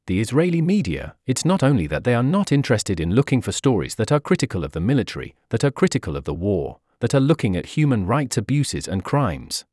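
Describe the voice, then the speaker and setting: A man reading a news article aloud in an even, measured way. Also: mid-range voice, dry recording, studio quality. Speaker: article narrator.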